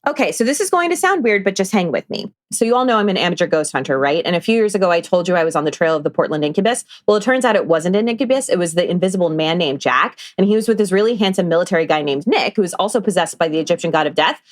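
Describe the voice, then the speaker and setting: Woman playing a fast-talking, earnest amateur ghost hunter. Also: fairly low pitch, animated, no echo, clean studio sound, natural voice. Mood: earnest, rambling. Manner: rambling, breezy, conversational. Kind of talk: audio drama table read.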